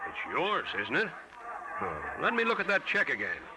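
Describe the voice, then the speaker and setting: A noisy vintage radio recording. an obliging baggage clerk in 1940s drama style, his voice fairly high and lively. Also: normal speed, heavily acted. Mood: helpful.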